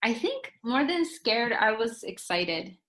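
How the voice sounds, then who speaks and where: A woman's voice: fairly high pitch, very animated, slow pace, a bit bright. A young woman from Japan with a Japanese accent, a guest author talking casually in an interview, full of "like" and restarts. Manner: many fillers like "like" and restarts.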